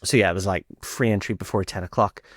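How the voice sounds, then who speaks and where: Low-voiced Northern Irish man telling stories. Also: steady tone, quick pace, no echo, studio quality. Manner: conversational, acts out quoted dialogue. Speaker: host telling a personal dating story. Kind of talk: casual anecdotal storytelling.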